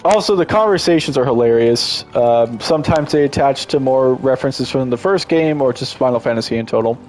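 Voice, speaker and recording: A man's voice: mid-range voice, a little fast, darkish tone, soft background music, poor audio. A congested man giving casual, enthusiastic gameplay commentary as a streamer, rambling and restarting his sentences often.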